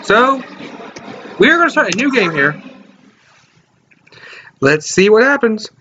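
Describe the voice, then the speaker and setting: A man's voice: high voice, big pitch swings, unhurried, noisy background. A young man hosting a casual let's play in his bedroom, joking and reading game text aloud. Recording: lo-fi webcam audio with game music.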